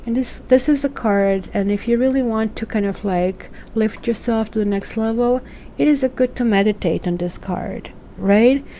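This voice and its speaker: A woman's voice: fairly high pitch, moderate pace, mellow, slight background noise. A woman from Croatia with a Croatian accent, reading tarot cards in a chatty, musing way and asking questions aloud. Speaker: tarot reader.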